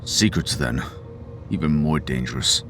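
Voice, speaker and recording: A man's voice: a bass voice, animated, a little slow, music in the background. An actor playing a stern, bitter middle-aged father in an audio drama, brooding, clipped and authoritarian. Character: stern, embittered, domineering father and political activist. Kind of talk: scripted audio drama acting.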